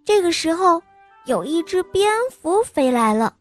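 A young woman hosting a children's bedtime story show, narrating in a sweet, sing-song, gently coaxing manner. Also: extremely high pitch, big pitch swings, a little slow, soft background music.

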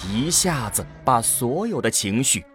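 A man's voice: mid-range voice, sing-song, a little slow, fairly bright tone, soft background music. A man narrating a science fiction radio drama in a dramatic scripted storytelling style.

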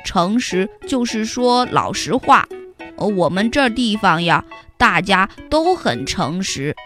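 A woman voicing a friendly, honest little ant who explains things slowly and patiently in a lower voice. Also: very animated, fairly bright tone, faint music behind. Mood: earnest.

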